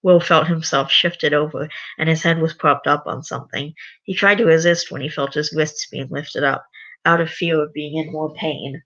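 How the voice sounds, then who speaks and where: A livestreamer reading a story aloud and chatting to viewers, voice going raspy. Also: mid-range voice, fairly even intonation, moderate pace, fairly bright tone, highs cut off. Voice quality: voice getting raspy. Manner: rambling, self-correcting. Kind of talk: reading a story aloud, then casual chat.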